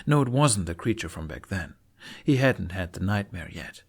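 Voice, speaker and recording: A man narrating a fantasy story in an expressive, theatrical audiobook style. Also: sits low, normal speed, slightly rough. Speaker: fan fiction narrator.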